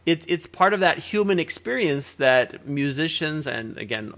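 A man's voice: fairly high pitch, expressive, moderate pace, a bit bright. An older man hosting a video call interview, musing at length between his questions. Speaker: interviewer host. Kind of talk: casual interview chat. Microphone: laptop video call.

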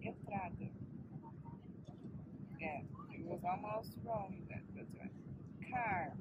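A woman's voice: medium pitch, slow pace. Woman travel vlogger, casual chat in english, dull audio, really noisy plane cabin. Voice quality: non-native English phrasing. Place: aboard an airliner cabin in flight. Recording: dull muffled sound, loud cabin drone. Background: loud aircraft cabin noise.

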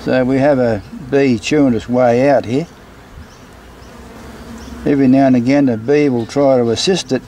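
A man's voice: mid-range voice, fairly even intonation, normal speed, long pauses, dark tone. A man, a beekeeper, casually explaining a hive inspection as he films outdoors. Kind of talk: casual explanatory vlog narration. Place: outdoors beside a beehive and brick house. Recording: clear buzzing insect noise behind. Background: buzzing bees.

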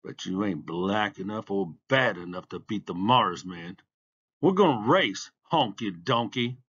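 A man's voice: fairly low pitch, expressive, unhurried, fairly bright tone, slightly rough, lo-fi sound, full character voice. A man voicing a cocky, boastful boy racer in a storybook, brash and emphatic. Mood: taunting.

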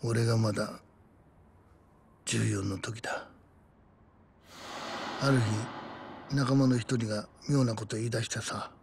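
An actor playing a world-weary man who narrates his rough gang youth, reflective and rough-spoken, in a dramatic game. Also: medium pitch, steady tone, a little slow, pauses often, a little mellow, slight background noise, lightly acted. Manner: rough masculine speech, reflective. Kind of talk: dramatic first-person game narration in Japanese.

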